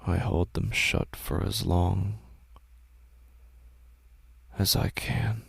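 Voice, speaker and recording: A man giving scripted first-person horror narration in a measured, hushed, uneasy way. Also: sits low, fairly even intonation, vocal fry, husky, no room sound. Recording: noisy ambient sound bed.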